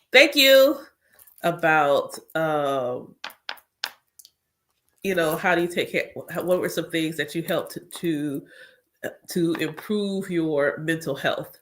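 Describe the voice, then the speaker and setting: A woman's voice: low-pitched, lively intonation, takes it slowly, long pauses. Chatty congested woman talking to viewers. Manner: chatty, talks straight to her viewers. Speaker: spiritual coach. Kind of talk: casual chat.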